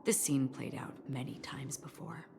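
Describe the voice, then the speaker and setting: Low-voiced, coolly defiant game heroine. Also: female voice, vocal fry, whispered. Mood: calm defiance. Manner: measured, deadpan, dryly pointed. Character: cool, unflappable woman with quietly dangerous confidence. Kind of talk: dramatic video game voice acting.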